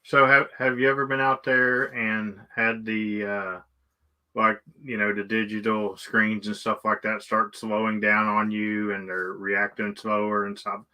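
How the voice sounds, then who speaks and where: A man hosting a casual interview, speaking haltingly and often repeating his words as he goes. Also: on the low side, level tone, sharp tone, poor audio. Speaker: live stream host interviewing a guest.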